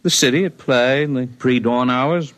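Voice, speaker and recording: An actor playing a calm, scholarly alien healer man in a 1950s radio drama, measured and precise in speech. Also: medium pitch, dry recording, natural voice.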